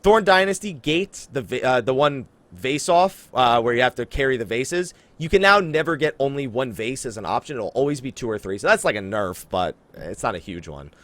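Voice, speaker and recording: A man hosting a casual gaming podcast, talking in an animated way and exaggerating for emphasis. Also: mid-range voice, normal speed, hardly any pauses, no room sound. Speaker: podcast host. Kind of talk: casual game podcast chat.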